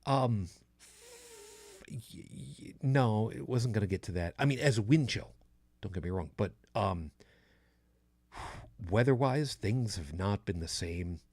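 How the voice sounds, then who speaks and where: A man's voice: fairly low pitch, animated, measured pace, long pauses, a little mellow, no room sound. A man in his fifties hosting a podcast, chatting casually in a rambling way with many restarts. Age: 50s. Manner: rambling, frequent restarts and fillers. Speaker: podcast host. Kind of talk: casual chat.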